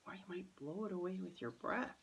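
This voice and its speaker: A woman's voice: on the low side, fairly quick, creaky, slight background noise. A woman voicing an anxious, earnest nine-year-old girl in a children's storybook. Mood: hushed, anxious.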